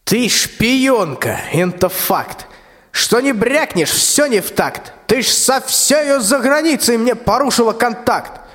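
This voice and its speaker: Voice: mid-range voice, animated, slow pace, a bit bright. A performer playing a scheming, bossy old tsar in a rhymed radio play, with declamatory folksy speech. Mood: scolding, impatient. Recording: long hall-like reverb.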